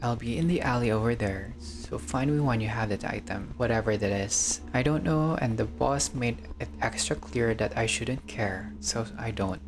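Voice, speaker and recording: A man's voice: mid-range voice, normal speed, few pauses, noisy background, lightly acted. A man voicing an offhand, incurious gang errand-runner in a vampire game, talking in a casual, dismissive way.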